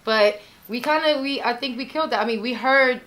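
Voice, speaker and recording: A woman's voice: on the high side, fairly quick, background noise. A woman, chatting casually as a podcast guest, full of you-knows and likes. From New York, Spanish Harlem. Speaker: guest singer.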